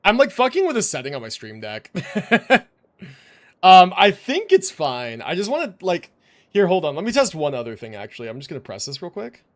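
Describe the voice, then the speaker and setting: A man hosts a casual game livestream on a streaming microphone. His voice sits fairly high and moves around a lot in pitch. He rambles in slang and swears offhandedly. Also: wildly swooping pitch, normal speed. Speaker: livestream host. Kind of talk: casual gaming stream chat.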